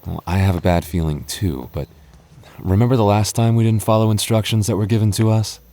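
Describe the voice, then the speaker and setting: Man acting a very deep-voiced nervous goth boyfriend. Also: mellow, a little hiss or hum, natural voice. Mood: wary, wry. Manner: stammering, halting, nervous, wry. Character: anxious, protective young goth boyfriend, nervously joking. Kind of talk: boyfriend ASMR audio roleplay.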